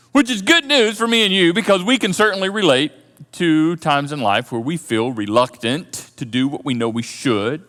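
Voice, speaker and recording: Male pastor, lively storytelling sermon, bright tone, long church-hall reverb. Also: fairly high pitch. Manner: animated storytelling, thinks aloud with repeated words. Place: church auditorium.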